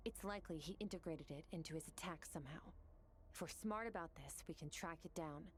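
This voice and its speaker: A woman voicing a composed, cautious young warrior mentor in a video game, calm and measured as she instructs. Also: low voice, slight background noise, lightly acted. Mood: composed, strategic.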